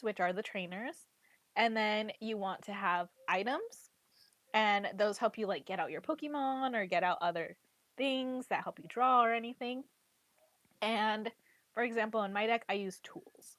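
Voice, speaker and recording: A woman recovering from a cold talks in a congested, slightly irritated voice at a middling pitch. She chats loosely and freely, filling her talk with "like" and "literally". The sound is dry and close, with no room around her. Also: a bit bright, dry recording. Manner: chatty, heavy use of "like" and "literally". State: congested, getting over a cold. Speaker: trading card game player and content creator. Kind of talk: casual chat.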